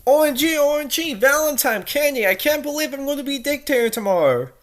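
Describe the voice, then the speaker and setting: A woman's voice: sits high, lively intonation, brisk, voice pushed up. A woman voicing a melodramatic, edgy fourteen-year-old cat princess from a fanfiction, in an overdramatic, mock-serious manner. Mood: excited.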